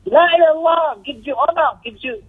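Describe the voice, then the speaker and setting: A man's voice: very high voice, fast talker. A man teaching an Islamic lesson as a religious teacher, emphatic, with repeated phrases, rhetorical questions and shifts into Arabic recitation. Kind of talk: Islamic lecture with commentary on a text. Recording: tinny, narrow telephone-like audio.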